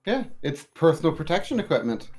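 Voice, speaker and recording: Goofy male co-host, very lively swooping pitch, faint music in the background. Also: fairly quick. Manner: goofy, lively, playful jokes. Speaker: podcast co-host. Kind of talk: casual podcast chat.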